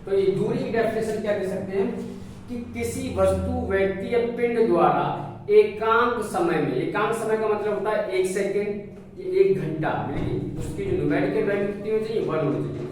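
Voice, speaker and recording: A man's voice: high-pitched, moderate pace. A man lecturing as a physics teacher, didactic, repeating phrases and asking check questions. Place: indoor classroom with a board. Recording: very echoey room, distant mic, faint noise.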